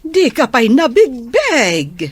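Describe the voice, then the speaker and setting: A woman's voice: high voice, wildly swooping pitch, slow pace, breathy edge, no echo, heavily acted. An actress playing a frank older mother who admonishes her grown daughter, in an Ilocano radio drama. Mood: admonishing.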